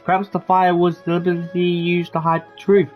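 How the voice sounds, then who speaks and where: A man giving casual, halting game commentary with a dry, joking manner as he plays through a story quest. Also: high voice, completely flat delivery, lo-fi sound, soft background music. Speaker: streamer playing a story quest.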